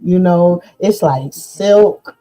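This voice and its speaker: A woman hosting a podcast, chatting slowly and loosely with lots of fillers. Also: on the low side, dark tone, rough recording. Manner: slow, drawn-out, chatty with many fillers. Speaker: podcast host. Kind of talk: casual chat.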